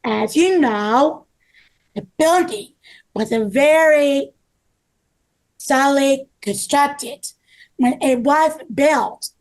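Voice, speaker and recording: A woman reading the part of an earnest, careful construction manager in a halting, deliberate way at a table read. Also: high-pitched, low-quality recording. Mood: earnest, measured. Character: earnest construction project manager named Bob, calm and careful. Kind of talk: table read of a scripted story.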